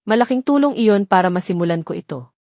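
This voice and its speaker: Female narrator as calm, self-possessed young heroine, independent, romantic audio drama; dry recording. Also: mid-range voice, fast, dry recording, close to an everyday voice. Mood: self-assured. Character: calm, independent young café-owner woman.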